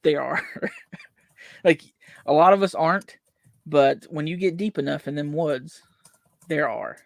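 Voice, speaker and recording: A man's voice: a little high, a little slow, creaky, no room sound, clean studio sound. A young man from West Virginia with a Southern country accent, rambling through a casual movie chat with lots of fillers. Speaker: podcast co-host.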